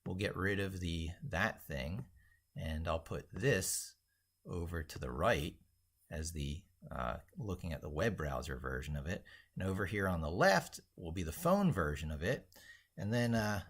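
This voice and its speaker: A man's voice: deep voice, expressive, unhurried, slightly rough. A man hosting a casual live screen-share stream, rambling and thinking aloud as he demonstrates things into a microphone. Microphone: speaking into a microphone.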